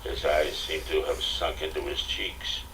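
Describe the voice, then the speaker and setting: A man's voice: a bass voice, flat delivery, unhurried, sharp tone. A man giving dramatic scripted narration of a story as an audiobook narrator. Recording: distant, noisy cassette audiobook recording.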